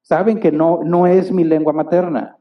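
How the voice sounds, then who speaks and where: Male pastor, higher voice, preaching a sermon with rhetorical questions; mic set back, dull lo-fi recording. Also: little pitch movement, moderate pace. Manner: asks the congregation rhetorical questions; acts out little dialogues. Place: church hall. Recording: distant, dull church recording.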